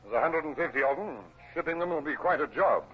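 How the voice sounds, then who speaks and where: Actor as earnest junior navy officer, muffled. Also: male voice, a little high, expressive, fast talker, natural voice. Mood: anxious, keen. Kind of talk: old radio drama acting. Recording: muffled, faintly noisy vintage radio recording.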